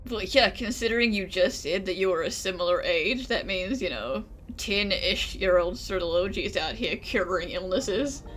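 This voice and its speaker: Lively, bright-voiced woman commenting on a game. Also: medium pitch, normal speed, few pauses. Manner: chatty, joking. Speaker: gaming video host. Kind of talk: casual playthrough commentary.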